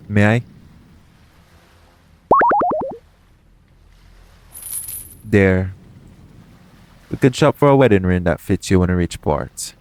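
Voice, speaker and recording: A man's voice: deep voice, a little mellow, a little hiss or hum, natural voice. A man playing a roguish, protective pirate captain in an intimate audio roleplay, talking tenderly to one listener in a colloquial seafarer's dialect. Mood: gently practical.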